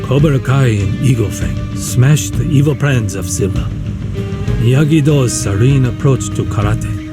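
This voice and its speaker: Male actor as grave storyteller, medium pitch, measured, dramatic game narration, loud guitar music behind. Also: natural voice. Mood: solemn. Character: grave, proverb-spouting male storyteller of karate rivalries.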